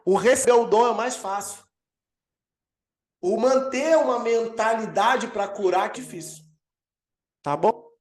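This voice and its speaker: A man with a Brazilian accent, an apostle teaching a healing course over a video call, energetic and emphatic as he answers questions. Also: sits high, a little slow, pauses often. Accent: Brazilian Portuguese. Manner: energetic preacher, swells and emphasizes key words. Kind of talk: live online teaching with Q&A.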